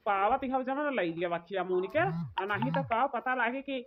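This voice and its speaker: A woman plays a scolding village mother-in-law in a cartoon comedy. Her voice is on the high side and domineering, with rural speech. The sound is dull, with clear noise behind it. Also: lively intonation, moderate pace, lightly acted. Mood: favouring, dismissive. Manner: nagging, domineering. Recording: muffled audio with clear background noise.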